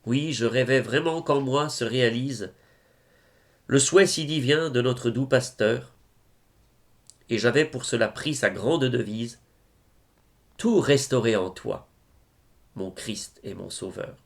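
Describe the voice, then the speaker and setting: A man reciting devotional poetry in a solemn style, with measured, reverent phrasing. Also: medium pitch. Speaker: reader of religious poetry.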